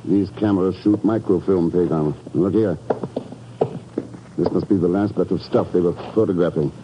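An actor playing a cool, commanding secret agent in a 1950s radio drama, speaking in a terse, authoritative way. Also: male voice, low voice, normal speed, close to an everyday voice. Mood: calm, intent. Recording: muffled, noisy 1950s radio recording.

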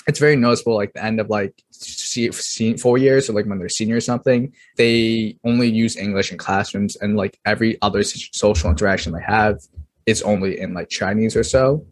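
A young American man in casual chat, halting and fumbling through his sentences with constant "like" fillers. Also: fairly low pitch. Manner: halting, heavy use of "like", trailing off.

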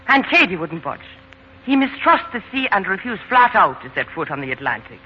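A woman's voice: medium pitch, big pitch swings, a little fast, lightly acted. Actress playing an eager, lilting Irish young woman. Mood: exasperated, lively. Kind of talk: 1940s radio acting. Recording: muffled, noisy 1940s radio recording.

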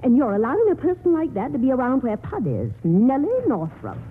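An actress playing a spiteful, self-righteous meddling busybody in a 1940s radio drama, scolding and sharp-tongued. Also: female voice, sits high, sing-song, a little fast, breathy edge, heavily acted. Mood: disapproving. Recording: muffled, tinny old radio broadcast.

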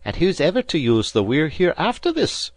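A man's voice: on the high side, wildly swooping pitch, normal speed, no room sound, voice pushed up, full character voice. A man voicing a hearty, cheerful deckhand.

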